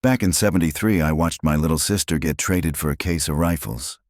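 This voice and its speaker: A calm, protective marshal drawls slowly with a gravelly edge, as a man plays him. The voice sits very low, and the recording is tight and dry. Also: dry recording. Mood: steady. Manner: slow drawl, rough as gravel. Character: weathered male marshal, calm, wry and protective.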